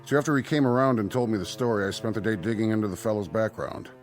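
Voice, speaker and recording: An actor playing a concerned, businesslike American hospital doctor in a radio drama, explanatory and reassuring in manner. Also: male voice, fairly low pitch, fast talker, lightly acted. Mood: explanatory, grave. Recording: studio radio drama with faint music.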